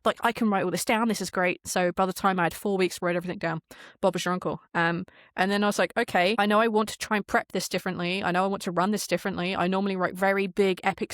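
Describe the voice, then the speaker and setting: A woman with a very quick delivery tells stories in a casual interview. She is chatty and emphatic, swears freely, and is recorded dry and close, with little room sound. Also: mid-range voice, no echo. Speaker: podcast guest, game master and audio drama creator. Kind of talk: animated casual interview storytelling.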